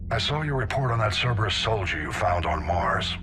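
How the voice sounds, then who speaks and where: An actor playing a grim, seasoned military admiral in a video game, with a grave, blunt, authoritative delivery. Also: male voice, on the low side, animated, a little fast, over music, lightly acted. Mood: blunt resolve.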